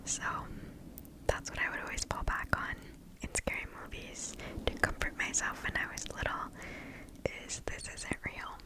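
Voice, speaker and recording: A woman hosting ASMR, reading and chatting in a soft, breathy, unvoiced whisper very close to the microphone. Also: low-quality recording. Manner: soft, slow, unhurried. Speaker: ASMR video host.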